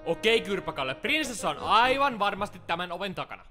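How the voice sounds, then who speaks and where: Man playing sneering, lively cartoon teenage big brother. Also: high voice, soft background music, exaggerated voice. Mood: slyly goading. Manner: sarcastic, swearing slangy drawl. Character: bullying, foul-mouthed teenage older brother, sneering video-game plumber. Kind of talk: Finnish fan-dub cartoon parody.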